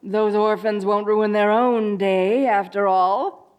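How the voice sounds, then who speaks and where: A woman playing a dorky, theatrical evil sorceress queen in a comedic roleplay audio drama, grandiose and gleefully wicked yet soft-hearted and awkwardly chatty. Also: medium pitch, fairly even intonation, slow pace, smooth, muffled recording, natural voice. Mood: breezy.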